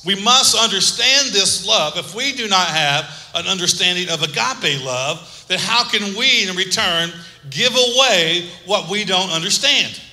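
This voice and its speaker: A pastor delivers a sermon in a voice that rings very bright, slightly high for a man. He calls on listeners to repeat words and drops small jokes. The sound carries a long reverb from a large hall. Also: expressive, a little slow. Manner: preaching with call-and-response and humor.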